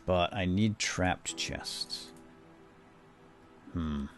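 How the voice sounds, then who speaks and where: A man's voice: low-pitched, extremely slow, music in the background. A man hosting a video game series, chatting casually and retelling a story with lots of fillers. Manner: rambling, many fillers, lively retelling. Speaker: let's play host. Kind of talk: casual gameplay commentary and storytelling.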